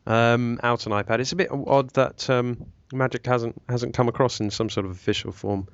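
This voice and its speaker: Man hosting, halting and dry. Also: mid-range voice, fairly even intonation, no echo. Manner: rambling, hesitant with many ums. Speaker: podcast host. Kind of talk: casual chat. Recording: dull lo-fi, dry.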